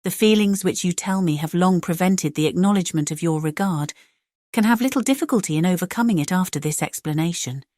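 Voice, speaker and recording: A proud young gentlewoman from a classic romance audiobook, played by a woman. Her voice is on the low side and recorded dry, with formal, measured phrasing and a cool, indignant edge. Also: dry recording, lightly acted. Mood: cold, controlled. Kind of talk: dramatized audiobook acting.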